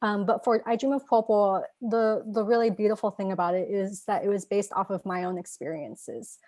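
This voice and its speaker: A woman's voice: medium pitch, fairly even intonation, moderate pace, dark tone, no room sound. A woman illustrator talking casually about her creative process, with plenty of "um" and "you know". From Taiwan. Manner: chatty, lots of fillers. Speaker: picture book illustrator. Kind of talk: casual chat. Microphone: video call.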